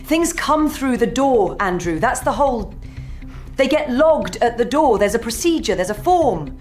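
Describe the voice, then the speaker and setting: An actress playing a brisk, wry woman in charge of a secret archive, clipped and dry, in an audio drama. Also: a little high, animated, darkish tone. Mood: alarmed. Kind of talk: scripted audio drama acting. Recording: music under the voice.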